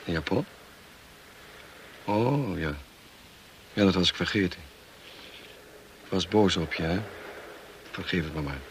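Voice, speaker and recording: A noisy old radio drama recording carries a tormented husband. His voice is very low and creaky. He speaks gravely and bitterly to his wife, with heavy dramatic pauses. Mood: resigned, weary. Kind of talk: Dutch radio play acting.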